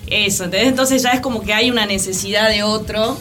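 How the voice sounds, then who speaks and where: Tentative Argentine woman over clear music. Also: mid-range voice, fast talker, a bit bright. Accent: Argentine Spanish. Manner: tentative, searching for words. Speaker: radio show co-host. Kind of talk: casual chat. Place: radio studio with music behind.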